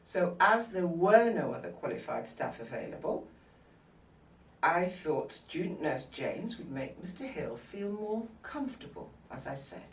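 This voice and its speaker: An actress playing a composed, dignified nursing sister who speaks in a measured, formal, pointed way in a scripted drama. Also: female voice, fairly low pitch, big pitch swings. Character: composed, dignified senior nurse, quietly defiant. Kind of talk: scripted stage-drama acting. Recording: distant mic in echoey room.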